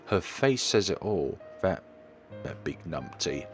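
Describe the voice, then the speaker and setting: Guy with a lowish voice narrating a visual novel playthrough, bit halting, music behind him. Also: sing-song. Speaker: let's player reading a visual novel aloud. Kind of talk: expressive read-aloud of first-person story narration. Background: background music.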